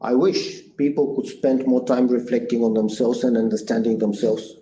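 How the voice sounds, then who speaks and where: A man's voice: medium pitch, fairly even intonation, moderate pace, warm tone. A man in his sixties from Bulgaria with a Bulgarian accent, a guest entrepreneur answering interview questions in an earnest, thoughtful way, with many "uh" fillers and restarts. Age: 60s. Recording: echoey room with faint noise.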